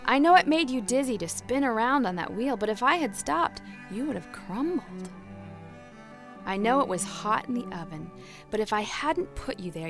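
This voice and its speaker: A woman voicing a patient male potter who explains things softly and calmly. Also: very high-pitched. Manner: soft, calm, hushed. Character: patient, gentle master potter.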